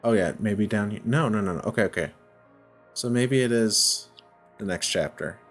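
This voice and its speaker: A man's voice: on the low side, moderate pace, a bit bright, faint music behind. A man giving casual gameplay commentary, thinking aloud in a hesitant, self-questioning way as he works through a puzzle game. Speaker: video game playthrough host.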